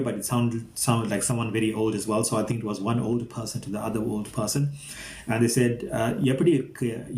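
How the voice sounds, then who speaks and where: A man's voice: medium pitch, darkish tone. A man with a South African accent hosting an informal spoken language lesson, patiently explaining and repeating phrases. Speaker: host and language teacher. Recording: echoey, roomy sound.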